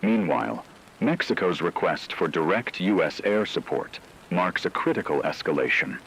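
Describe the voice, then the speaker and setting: A man playing a solemn radio news correspondent, delivering a scripted emergency war broadcast in a measured, dramatic way. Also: sits low, expressive, fairly bright tone, a little hiss or hum, rough recording. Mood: grave, urgent.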